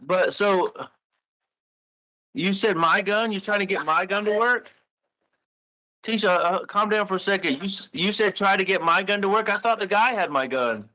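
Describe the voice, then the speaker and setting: A man in a private phone conversation, questioning in a halting, coaxing way, often repeating and rephrasing himself. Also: high voice, bright, forceful tone. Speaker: caller questioning the other speaker. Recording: recorded phone call. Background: recorded phone call.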